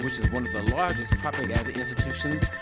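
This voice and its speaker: Low-voiced stern guerrilla field marshal. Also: female voice, fast. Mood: solemn, accusing. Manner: declamatory, formal. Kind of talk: proclamation over electronic music. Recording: loud electronic music behind.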